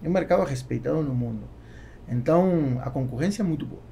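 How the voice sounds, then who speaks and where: A man in his forties with a Spanish accent in Portuguese, talking casually and haltingly as a podcast guest. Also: on the high side, animated, creaky, dark tone. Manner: halting, with repeated words. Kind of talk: casual interview talk. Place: small foam-padded podcast studio. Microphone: close studio microphone on boom arm. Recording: faint background noise.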